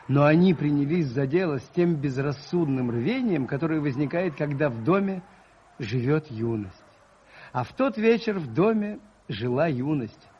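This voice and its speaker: A mild, thoughtful priest played by a man narrating a radio mystery. The voice is somewhat high with lively intonation, and the old recording is slightly dull. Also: close to an everyday voice. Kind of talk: reflective radio drama narration. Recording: dull, faintly noisy 1980s radio recording.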